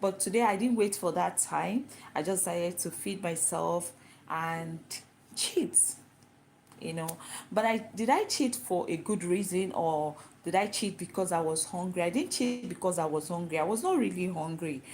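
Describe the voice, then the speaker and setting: A woman's voice: on the low side, moderate pace, darkish tone, slight background noise. A Nigerian woman whose first language is Igbo, talking to camera in a chatty, explaining way and answering her own questions. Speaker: vlogger. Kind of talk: casual talk to camera.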